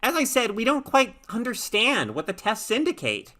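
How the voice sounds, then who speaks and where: A woman voicing a calm, evasive male doctor in a story reading, measured, reassuring and professional. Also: a little high, pitched above the performer's own voice. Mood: reassuring, guarded.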